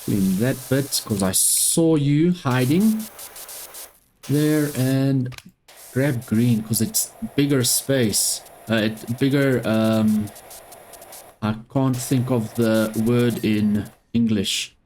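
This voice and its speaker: Man, Afrikaans speaker, unhurried, mid pitch, casual game commentary. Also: a little hiss or hum. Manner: relaxed, talking to himself while playing. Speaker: gaming YouTuber.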